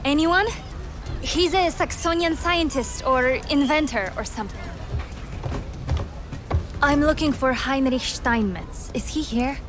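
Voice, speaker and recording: A woman's voice: sits high, measured pace, long pauses. An actress playing an earnest, determined young woman fighting in a resistance, in a video game cutscene, plain-spoken. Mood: urgent, searching. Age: young adult. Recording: music behind the voice.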